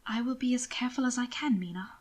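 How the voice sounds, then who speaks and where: Casting: woman reading a gentle, deferential young lord, anxious and pained, softly hesitant, for storybook narration. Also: a little high, quick pace, close to an everyday voice. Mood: tense, deferential. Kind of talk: audiobook character acting.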